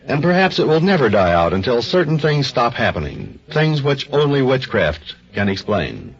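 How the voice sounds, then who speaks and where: A man opens a vintage radio show with dramatic, emphatic narration, his pitch rising and falling freely. The recording is dull and lo-fi. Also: big pitch swings, normal speed. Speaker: announcer.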